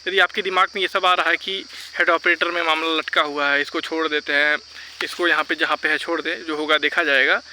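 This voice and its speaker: A man's voice: on the high side, steady tone, fairly quick, bright, forceful tone, a little hiss or hum, poor audio. A man giving informal, argumentative advice, insistently repeating his points and mixing in English words. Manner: mixes English words into speech. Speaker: vlogger advising exam candidates.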